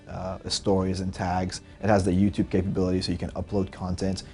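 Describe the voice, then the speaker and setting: A man's voice: low-pitched, dark tone. A young man, a startup founder giving earnest interview answers with frequent fillers. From Lebanon.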